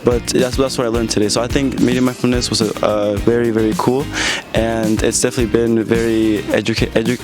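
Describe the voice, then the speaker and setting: Young man, mid-pitched, music behind. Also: flat delivery, brisk. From Mexico, lives in US. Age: young adult. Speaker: exchange student. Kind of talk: interview testimonial.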